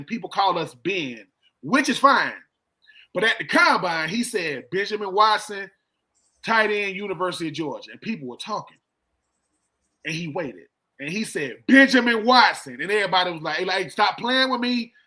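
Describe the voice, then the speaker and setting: A man's voice: high voice, lively intonation, moderate pace, pushed and bright. A middle-aged man, a former football player, talking sports in a casual, colloquial way, repeating himself and acting out quoted exchanges. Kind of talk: casual, animated sports storytelling.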